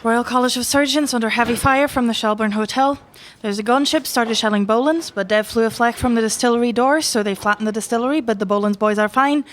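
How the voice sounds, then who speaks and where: A woman's voice: fairly high pitch, slight background noise, close to an everyday voice. A woman in an audio play acting a young rebel messenger who reports urgently to a commandant.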